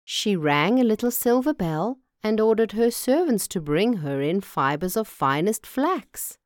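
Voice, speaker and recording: A woman's voice: medium pitch, very animated, a little slow, dry recording, clean studio sound, voice pushed up. Woman reading as an earnest young farm lad. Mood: modest. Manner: earnest, plain-spoken. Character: loyal, modest young farmer's son, fond sweetheart. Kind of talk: storybook character voice.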